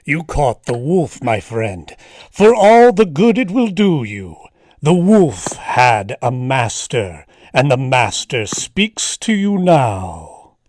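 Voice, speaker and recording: An actor playing a menacing masked criminal mastermind in a 1940s-style radio serial, delivering gloating, theatrical threats. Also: male voice, medium pitch, very animated, slow pace, a little mellow, no room sound. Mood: gloating menace. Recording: live home-streamed radio play.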